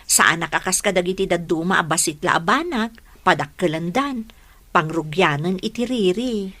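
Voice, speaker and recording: A woman's voice: on the low side, expressive, moderate pace, fairly bright tone. An actress playing a trusting, anxious young girlfriend in a radio drama, with an Ilocano accent. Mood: defensive, earnest.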